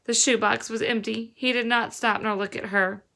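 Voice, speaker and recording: A woman's voice: a little high, level tone, moderate pace, creaky, pushed and bright. Woman reading a children's novel aloud. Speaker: reader, teacher-like storyteller.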